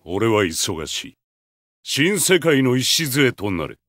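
A man's voice: mid-range voice, very animated, a little slow, a bit bright, no echo, clean studio sound. A man voicing a haughty, ruthless video game supervillain with a god complex, contemptuous, menacing and commanding. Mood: cold, haughty. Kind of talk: Japanese fighting game voice acting.

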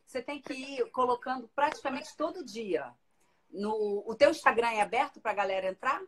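A woman's voice: fairly high pitch, moderate pace, a little hiss or hum, poor audio. A woman in her forties hosting a casual livestream from her living room, chatting and reading viewer comments into a phone held at arm's length. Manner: chatty, lively, reads out comments. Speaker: livestream host. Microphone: phone camera at arm's length.

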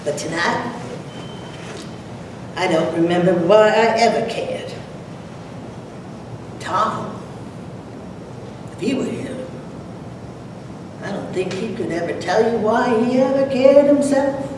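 A woman's voice: medium pitch, takes it slowly, long pauses, voice pushed up, natural voice. A woman giving a dramatic poetry reading as a haunted old farm widow confessing a buried secret in a theatrical, storytelling way. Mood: bitter confession. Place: large lecture room, at a podium. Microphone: distant camera microphone. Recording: echoey hall from far, noisy.

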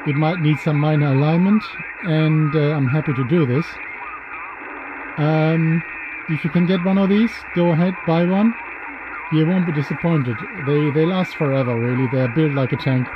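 A man in his fifties giving a casual gear demo as a hobbyist host, pausing hesitantly mid-sentence. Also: on the high side. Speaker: radio hobbyist and video host. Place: small indoor room full of radio gear. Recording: dull lo-fi sound with loud radio noise.

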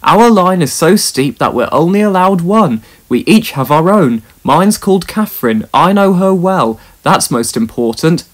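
Man voicing a wise older male engine, measured, rueful, storybook tone, slightly raised pitch. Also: animated. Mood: earnest.

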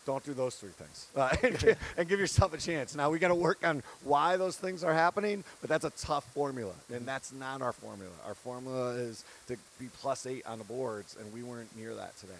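A man's voice: medium pitch, a little fast, gravelly, hardly any pauses, fairly bright tone, slight background noise. A man in his thirties, a head basketball coach, giving an earnest, candid post-game interview on a handheld mic. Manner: earnest, candid, laughs between points. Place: courtside set in an empty arena.

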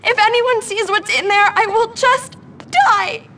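A woman's voice: very high voice, steady tone, measured pace, bright, forceful tone, a little hiss or hum, heavily acted. A woman playing a needy, lovesick, nerdy teenage schoolgirl in a video game, whiny and melodramatic. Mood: upset.

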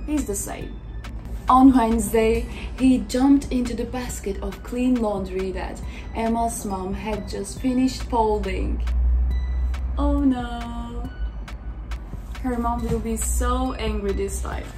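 High-pitched young woman reading slowly to children. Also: steady tone, darkish tone, soft background music, low-quality recording. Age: young adult. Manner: exaggerated, simple short phrases, reacting to the pictures. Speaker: children's story reader. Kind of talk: expressive picture-book read-aloud with asides to children.

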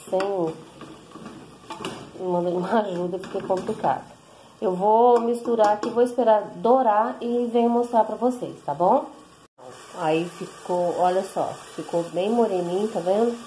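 A woman's voice: mid-range voice, dark tone, slight background noise, low-quality recording. A Brazilian woman explaining a recipe step by step at her home kitchen stove, filming one-handed with her phone and checking in with viewers constantly. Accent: Brazilian Portuguese. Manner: chatty, explains step by step, frequent tag questions. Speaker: home cook vlogger. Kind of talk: casual cooking tutorial. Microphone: handheld phone camera.

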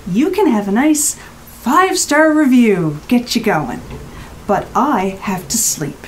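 An actress playing a blunt, exasperated rental guest in an audio drama, with emphatic, clipped phrases. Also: female voice, on the high side, animated, slow, slight background noise, low-quality recording. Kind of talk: naturalistic audio drama acting.

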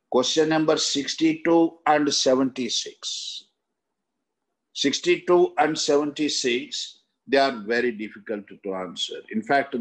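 An older man with an Indian accent and a husky voice of medium pitch. He teaches like an exam coach, going through questions in short halting phrases and often repeating himself. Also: low-quality recording. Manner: halting, repetitive, with frequent "okay" tags. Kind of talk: lecture explaining exam questions. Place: indoor room, seated.

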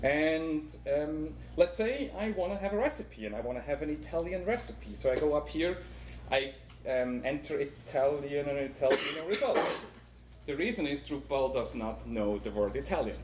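A man's voice: on the high side, lively intonation, moderate pace, darkish tone. A man from Switzerland with a Swiss accent, presenting a conference talk and live demo with many ums, explaining step by step. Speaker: conference speaker. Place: conference room. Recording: echoey room with faint noise.

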